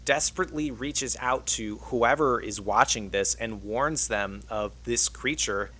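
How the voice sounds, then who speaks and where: Man, medium pitch, scripted video essay narration, online commentator, faint noisy ambience. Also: fairly bright tone.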